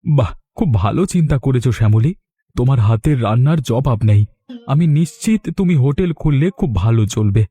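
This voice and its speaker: An actor voicing a good-natured village man in a cartoon, in an expressive, husky voice. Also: medium pitch, fairly quick. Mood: mild, earnest. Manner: animated, expressive. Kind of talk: Bengali cartoon voice acting.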